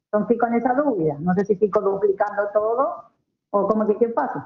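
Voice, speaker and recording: A woman's voice: medium pitch, fast talker, vocal fry, reverberant, phone-quality sound. A woman from Argentina with an Argentine accent and native Spanish, talking casually as a guest and mixing Portuguese with Spanish. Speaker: guest, marketing professional.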